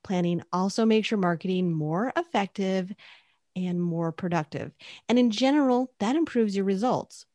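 A woman co-hosts a podcast and talks through prepared points in a steady, earnest voice. Her pitch sits in a middle range. The recording is close and dry. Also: normal speed, no room sound. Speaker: podcast co-host. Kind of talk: scripted podcast chat.

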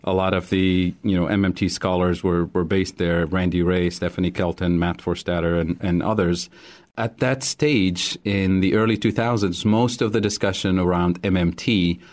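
Deep-voiced man from Tunisia explaining. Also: steady tone, darkish tone. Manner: measured, explanatory. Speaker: guest economist. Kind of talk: interview answer.

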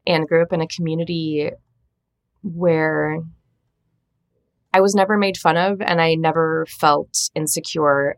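A woman from Minnesota whose first language is Latvian talks in a low voice with a gravelly, creaky edge. She tells stories in an easygoing, reflective way, as an interview guest. The tone is conversational and unhurried. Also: no echo. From Minnesota, United States. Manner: reflective, storytelling, warm laughs. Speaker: translator and editor, interview guest.

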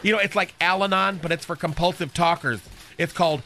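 Brief: high, bright, catty receptionist guy, a man putting him on, camp lilt, radio sketch. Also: fairly quick, faint music behind. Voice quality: lilting, swooping camp delivery. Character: flamboyant, catty, gossipy male receptionist. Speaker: show's receptionist character.

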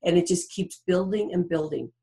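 A woman's voice: lowish voice, moderate pace, vocal fry, dark tone. A woman talking casually as a podcast guest, effusive and encouraging in manner. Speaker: podcast guest, coach and author. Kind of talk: casual interview talk.

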